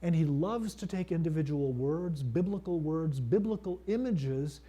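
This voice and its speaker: A middle-aged male priest giving a conference lecture, measured and thoughtful, with frequent fillers and restarts. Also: a little high, dark tone, husky. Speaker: priest and seminary teacher.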